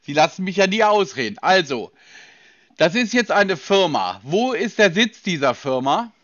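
Brief: German man, stern and sarcastic, high lively voice, dull dry sound. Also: moderate pace, no room sound. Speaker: person on the receiving end of a scam call.

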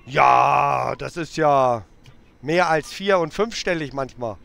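A man making a prank phone call, answering in a deadpan, joking way and playing along. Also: medium pitch, slow, creaky. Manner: deadpan, jokey, plays along. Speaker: prank caller.

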